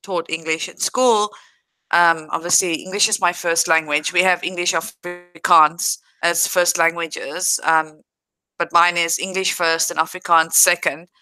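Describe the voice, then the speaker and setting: Bright, crisp South African woman chatting. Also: lowish voice, fairly even intonation, measured pace, no room sound, rough recording. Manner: chatty, laughs easily, talks straight to viewers. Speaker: livestream host. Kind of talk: casual livestream chat reading viewer comments.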